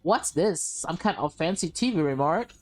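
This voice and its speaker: A woman's voice: sits low, lively intonation, normal speed, darkish tone. A woman voicing a startled, suspicious woman from a visual novel, with alarmed, questioning delivery. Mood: suspicious curiosity. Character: startled, suspicious adult woman in a swapped body. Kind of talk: visual novel read aloud in character. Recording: game music behind the voice.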